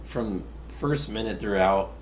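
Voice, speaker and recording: A man co-hosting a casual soccer talk show, his voice raspy from a cold, relaxed and analytical with hesitant restarts. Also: on the low side, measured pace, slight background noise. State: getting over a cold. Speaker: podcast co-host. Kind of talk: casual sports chat.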